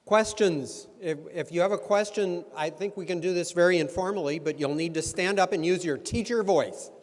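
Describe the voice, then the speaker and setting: A man's voice: high-pitched, moderate pace. Chatty man moderating in a big hall. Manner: relaxed, chatty, with many fillers. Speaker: event moderator. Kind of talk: informal Q and A hosting. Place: large meeting room. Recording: long reverb of a large hall.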